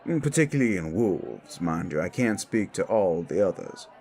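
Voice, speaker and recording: A man playing a laid-back, streetwise werewolf mentor in an audio drama, explaining things in a measured, wry way. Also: low voice, very animated, darkish tone.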